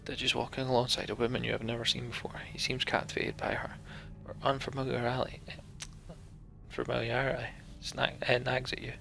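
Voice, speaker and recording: A man's voice: medium pitch, little pitch movement, a little fast, gravelly, sharp tone, faint music behind. A man hosting a voiced game playthrough, reading the story aloud with casual, sarcastic asides. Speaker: let's play host.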